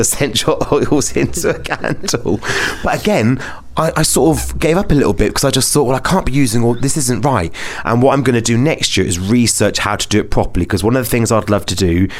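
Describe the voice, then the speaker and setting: A British man chats casually as the host of a podcast. His pitch sits in the middle for a man. He talks in anecdotes, with a self-deprecating streak and plenty of easy chatter. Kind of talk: casual chat.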